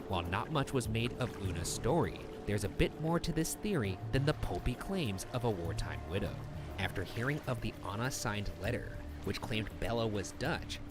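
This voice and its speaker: A man reading a scripted mystery narration in a measured, storytelling delivery. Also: fairly low pitch. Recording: narration over background music.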